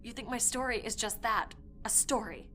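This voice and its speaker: A woman playing a haunted, obsessive young woman who recounts a dark past in an intense audio drama monologue. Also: a little high, moderate pace. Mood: earnest, pleading. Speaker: performer reading a dramatic monologue. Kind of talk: first-person audio fiction monologue. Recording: voice over prominent music.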